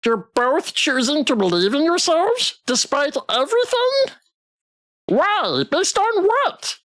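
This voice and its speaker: A woman voicing a bitter, menacing living toy that was once human, with a scornful, halting delivery in a dramatic visual novel. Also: high-pitched, big pitch swings, fairly bright tone, dry recording, studio quality, exaggerated voice. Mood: scornful disbelief.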